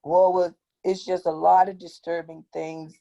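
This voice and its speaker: A woman with a raspy, hoarse voice telling a personal account on an online panel, halting and pausing often. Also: fairly low pitch, level tone, mellow, highs cut off. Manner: slow, halting, with long pauses. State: hoarse from tear gas. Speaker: activist guest. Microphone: video call.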